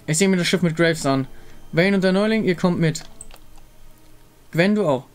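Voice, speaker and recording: A man's voice: on the high side, normal speed, soft background music, lightly acted. Male reader as female warrior, decisive, loving, firm, reassuring, game visual novel.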